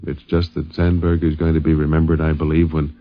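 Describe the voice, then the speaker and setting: A man's voice: a bass voice, completely flat delivery, a little fast, lo-fi sound. A man hosting and narrating a 1950s radio documentary, on a vintage broadcast recording.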